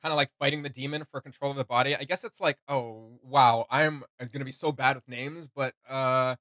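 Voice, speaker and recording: A young man chatting casually as a reaction video host, excitable and rambling in his delivery. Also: mid-range voice, a little fast, no room sound. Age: young adult. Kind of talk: casual chat, reacting to game voice lines. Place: small room at home, indoors.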